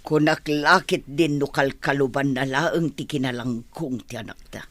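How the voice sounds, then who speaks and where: A man playing a fretful middle-aged mother, protective and animated, in a radio comedy drama. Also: mid-range voice, moderate pace, no echo, lightly acted. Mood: exasperated.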